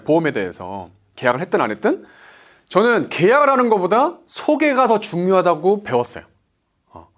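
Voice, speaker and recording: A man's voice: on the high side, a bit bright. A man in his forties, an insurance sales director, giving a motivational business talk, stressing points and asking himself "why?" before he answers. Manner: emphatic, asks himself "why?" then answers.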